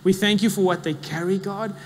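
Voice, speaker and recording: Man, pastor, earnest spoken prayer, high voice, long church reverb. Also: steady tone. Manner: earnest, halting prayer with repeated "God". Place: church hall.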